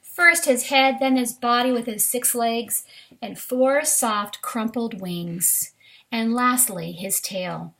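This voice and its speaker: A woman's voice: fairly high pitch, slow pace, gravelly, fairly bright tone. A woman reading a children's science book aloud, addressing young listeners directly in an instructive way, with brief asides of her own. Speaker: reader of a children's nature book.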